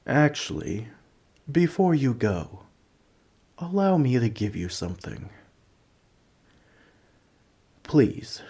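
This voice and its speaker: Man voicing a slow, deep, scholarly old dragon. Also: darkish tone, husky, exaggerated voice. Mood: grateful, gentle. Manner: scholarly, rambling, politely formal. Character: ancient, gentle, nerdy male dragon who collects coins. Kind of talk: scripted ASMR roleplay, speaking to the listener.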